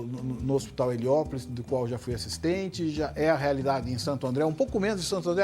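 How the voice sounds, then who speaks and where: A middle-aged Brazilian man, a surgeon guest, explaining in a measured way and often tagging his points with "né?". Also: fairly high pitch. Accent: Brazilian Portuguese. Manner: measured, tag questions like "né?". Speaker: head and neck surgeon guest. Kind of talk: explanatory interview answers.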